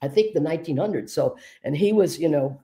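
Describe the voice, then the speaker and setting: A woman hosting a conversation, a medium telling stories in a relaxed, discursive way. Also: sits low, fairly quick. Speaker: host and trance medium. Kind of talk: casual conversation.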